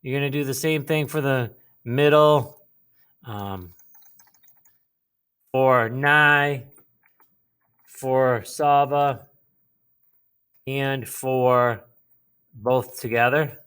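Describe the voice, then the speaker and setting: A man teaching a lesson, explaining a writing task step by step, with pauses and repeated phrases. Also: medium pitch, steady tone, fairly bright tone, clear, resonant tone. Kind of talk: instructional lesson, modelling a writing task aloud.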